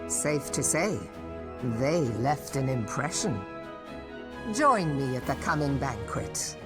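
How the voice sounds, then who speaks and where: A woman's voice: extremely low pitch, sing-song, takes it slowly, exaggerated voice. A woman playing a commanding yet gracious admiral in a fantasy game, with formal, authoritative, old-fashioned phrasing. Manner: formal, authoritative, archaic diction. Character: commanding, gracious female admiral of a seafaring city. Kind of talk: fantasy game cutscene acting. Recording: music under the voice.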